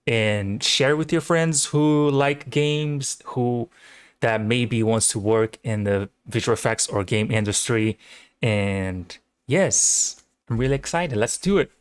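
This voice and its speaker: A young Brazilian man with a Portuguese accent chats at a slow, deliberate pace, eager and full of fillers as he hosts his own channel. His voice sits in a middle register. The recording is dry and close, with no room sound. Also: medium pitch, clean tone, dry recording. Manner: enthusiastic, rambling with fillers. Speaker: channel host. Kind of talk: casual reaction video chat. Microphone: home microphone.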